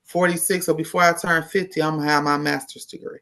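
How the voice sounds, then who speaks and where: Woman, fifties, nurse guest, bright forceful tone, unhurried and reflective. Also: low-pitched, steady tone, creaky. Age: 50s. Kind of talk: casual interview conversation.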